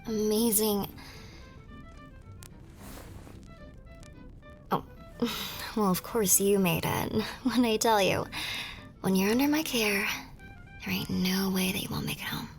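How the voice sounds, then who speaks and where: A woman playing a tender, wistful young army nurse in an ASMR romance roleplay, with a soft, breathy voice that often drops toward a whisper and an emotive delivery. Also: medium pitch, a little slow, lightly acted. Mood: proud, warm. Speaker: voice actor in a romance audio roleplay. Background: music.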